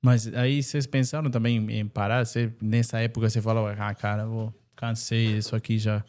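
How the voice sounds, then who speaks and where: A Brazilian man with a slightly low voice hosts a relaxed podcast conversation. His talk is informal and slangy, with a joking manner. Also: brisk. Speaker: podcast host. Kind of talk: casual podcast chat.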